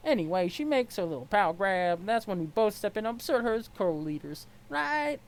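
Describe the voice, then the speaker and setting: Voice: medium pitch, big pitch swings, brisk. A performer voicing a brash, scheming young female alien with a sassy, sarcastic and pushy way of talking. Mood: scheming, breezy. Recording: clear background noise.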